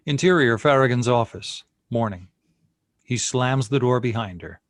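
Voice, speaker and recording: A man's voice: mid-range voice, moderate pace, no room sound, studio quality. A man reading out scene headings and stage directions as narrator of a screenplay.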